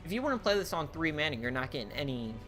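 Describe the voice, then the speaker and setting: A man's voice: on the high side, very animated, rapid-fire, a bit bright, soft background music. A young man casually reviewing cards for a card game, thinking aloud with many fillers and restarts, his voice getting worn. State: losing his voice.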